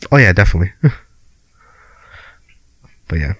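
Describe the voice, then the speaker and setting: A man's voice: sits low, very animated, moderate pace, a bit bright, dry recording. A young man with a Filipino accent co-hosting a podcast over a remote call, laid-back and laughing often as he swears casually. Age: young adult. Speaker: podcast co-host. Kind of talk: casual chat.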